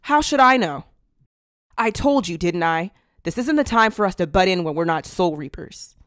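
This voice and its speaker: A woman's voice: lowish voice, expressive, moderate pace, a bit bright, dry recording, clean studio sound. A woman playing a brash, dismissive big sister in an anime fan dub, curt and blunt. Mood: irritated.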